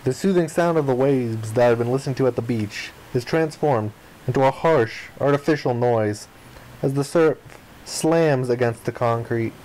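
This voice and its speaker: A man's voice: mid-range voice, moderate pace, a little hiss or hum, poor audio. A man reading a visual novel's narration and dialogue aloud as a playthrough host, expressively acting out the lines. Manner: expressive, acts out the protagonist's lines.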